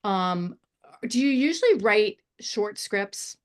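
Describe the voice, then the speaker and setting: A woman's voice: medium pitch, animated, takes it slowly. A woman giving unscripted feedback on a screenplay as a panelist, encouraging and feeling her way through her phrasing. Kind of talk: unscripted screenwriting feedback in a table read discussion.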